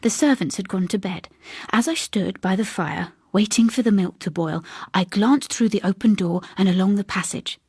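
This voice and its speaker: A woman's voice: mid-range voice, moderate pace, a little mellow, slightly rough, no echo, studio quality. A woman voicing a reserved older English woman who recounts a haunting in a hesitant, confiding, precise way. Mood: haunted, fearful.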